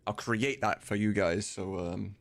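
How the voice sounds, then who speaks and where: A man's voice: fairly low pitch, steady tone, a little slow, creaky. A man hosting a casual livestream, talking informally and swearing now and then as he drifts between work and chat. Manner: informal, sweary, easily sidetracked. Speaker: livestream host and voice mod editor. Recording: music behind, computer typing. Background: music and keyboard clicks.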